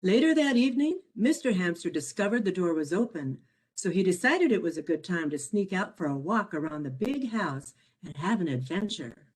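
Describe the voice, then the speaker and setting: Expressive woman reading a children's storybook. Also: fairly low pitch. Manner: expressive, measured read-aloud delivery. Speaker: author reading own children's picture book. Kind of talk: scripted storybook narration for children.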